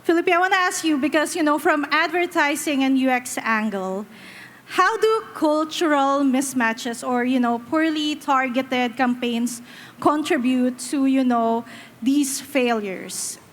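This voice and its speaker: A woman's voice: high-pitched, a little slow, a little hiss or hum. A woman journalist moderating a conference panel on stage, upbeat and enthusiastic.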